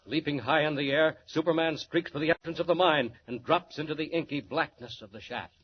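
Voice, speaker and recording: A man's voice: a little high, brisk. A man narrating as announcer in dramatic 1940s radio style. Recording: muffled old radio recording, faint noise.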